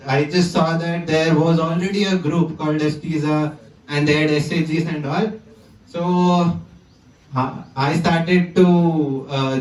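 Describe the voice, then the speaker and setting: A man's voice: fairly high pitch, echoey room, distant mic, poor audio. A man, who stammers and repeats words as he tells his story to a conference audience. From South India. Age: 20s. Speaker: conference speaker. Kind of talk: personal talk to an audience. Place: conference hall.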